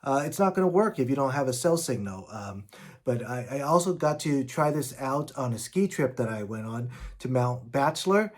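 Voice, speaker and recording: A man's voice: mid-range voice, expressive, moderate pace. A man with a mid-range voice talks through a gear review for a vlog. His delivery is casual and informal, explaining things plainly as he goes. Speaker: product reviewer.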